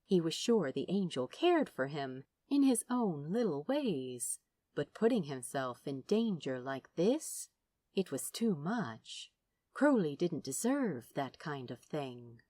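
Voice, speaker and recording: Expressive, lowish-voiced woman narrating fiction. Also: takes it slowly, no echo. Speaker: audiobook-style narrator.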